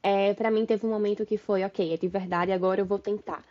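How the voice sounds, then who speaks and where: Upbeat Brazilian woman hosting, mellow lo-fi. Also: mid-range voice, fairly even intonation, a little fast. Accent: Brazilian Portuguese. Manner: friendly, upbeat questioning with fillers. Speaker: podcast host, marketing analyst. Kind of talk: casual interview chat. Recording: dull lo-fi sound.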